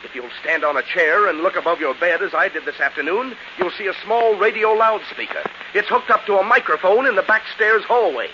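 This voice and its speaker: Insistent young hero in a 40s radio thriller, highish voice, hissy recording. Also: male voice, animated, fairly quick, few pauses, sharp tone, lo-fi sound. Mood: urgent accusing. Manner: urgent, emphatic. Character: earnest, insistent young secretary exposing a villain. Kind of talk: 1940s radio drama acting.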